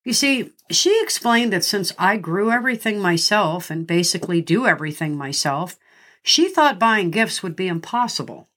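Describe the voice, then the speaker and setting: A middle-aged woman hosting her podcast, a little nasal and congested from a cold, talking in a friendly way and reading out lists with pauses between the items. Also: medium pitch, lively intonation, fairly bright tone. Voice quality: slightly nasal. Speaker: podcast host. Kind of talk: scripted podcast monologue.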